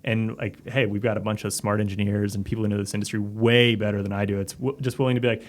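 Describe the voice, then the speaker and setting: A man's voice: on the low side, flat delivery, quick pace. A man in a casual interview, talking informally and reflectively as a podcast guest. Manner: informal, reflective, peppers talk with "like" and "kinda". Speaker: podcast guest, company CEO and former submarine officer.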